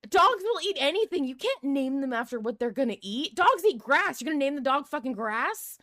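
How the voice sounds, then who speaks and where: A woman with a fairly high voice chats and argues off the cuff as a podcast co-host. She is animated and blunt, happy to push back. The sound is close and dry, with no room on it. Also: fairly quick, dry recording. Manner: animated, blunt, sweary, argumentative. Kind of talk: casual, unscripted debate chat.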